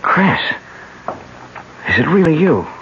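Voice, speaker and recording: A man's voice: fairly high pitch, very animated, slow, background noise, full character voice. A man acting as a blunt, informal American friend in a 1970s radio mystery. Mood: amazed, friendly. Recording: dull 1970s radio broadcast.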